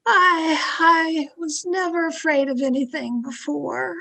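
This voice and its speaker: A woman acting a domineering, fearful, haunted wife in an old-time radio thriller, with an imperious, dramatic delivery full of halting dread. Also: extremely high pitch, creaky, pushed and bright, full character voice, rough recording. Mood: desperate, commanding.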